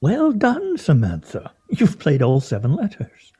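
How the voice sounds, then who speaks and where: Droll, dark-voiced pedantic man played by an actor. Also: a little high, wildly swooping pitch, unhurried, husky, exaggerated voice. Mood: wry. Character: dry, pedantic man, wryly mocking and theatrical. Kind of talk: radio play acting.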